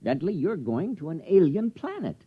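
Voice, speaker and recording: A man playing a brisk, stubborn, patronizing inventor, matter-of-fact and emphatic, in 1950s radio drama style. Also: fairly high pitch, sing-song, husky. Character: stubborn, brisk male inventor proud of his gear. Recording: dull vintage radio recording.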